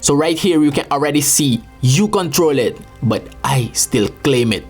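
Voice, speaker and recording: A man's voice: fairly high pitch, expressive, moderate pace, a little mellow, husky, faint music behind. A young man, delivering a sarcastic, indignant comic rant as a mock letter to a rival. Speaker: comedic video creator. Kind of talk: mock love letter monologue.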